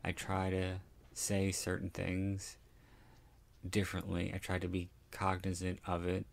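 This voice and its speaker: A man from Philadelphia with a South Philly accent, giving a casual, rambling and halting film commentary full of candid asides. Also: low-pitched, completely flat delivery, creaky. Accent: South Philadelphia American. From Philadelphia, United States. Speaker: podcast host.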